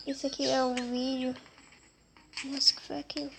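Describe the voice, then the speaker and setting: A woman's voice: high voice, flat delivery, moderate pace, gravelly, background noise. A young girl with a Brazilian Portuguese accent and a cold, giving casual commentary over her own gameplay. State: stuffy with a cold. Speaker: gaming video host. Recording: loud music over the voice. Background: loud music.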